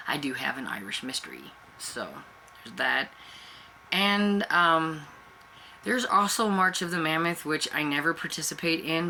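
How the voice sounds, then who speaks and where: A woman hosting a book channel chats loosely to camera, circling back on herself with plenty of fillers. She has a cold, so she sounds stuffy-nosed and a touch raspy. Her tone stays bright and sharp, with a faint hiss of noise behind her. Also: deep voice, low-quality recording. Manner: rambling and chatty, with many fillers and self-restarts. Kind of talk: casual chat to camera.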